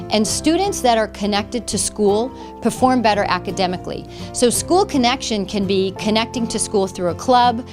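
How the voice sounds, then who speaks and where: A woman with a mid-range voice speaks as an advisor explaining a program. She addresses the listener in an informative, promotional way. Music plays clearly behind her. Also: medium pitch. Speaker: social worker and student club advisor. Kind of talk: explanatory promotional interview talk.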